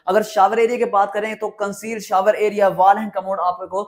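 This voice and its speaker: A man's voice: sits high, flat delivery, brisk. A man with a Pakistani accent presenting a house tour enthusiastically, with effusive, repetitive salesman patter. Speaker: property tour host.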